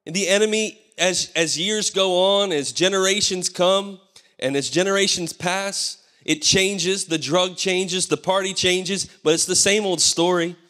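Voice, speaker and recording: A man in his thirties preaches from a church stage with a hoarse, tired voice, calling out for amens as he goes. His handheld mic sits in a large hall with a long reverb behind it. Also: high voice, unhurried, a bit bright. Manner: preaching with call-and-response, asking the crowd for amens. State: losing his voice. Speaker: pastor. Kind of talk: sermon.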